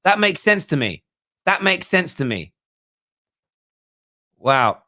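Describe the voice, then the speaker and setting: A man's voice: fairly high pitch, sing-song, slow, pushed and bright. A man hosting a football fan livestream, chatting bluntly and emphatically about viewer comments and often repeating himself. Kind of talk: casual live fan talk, reading out viewer comments.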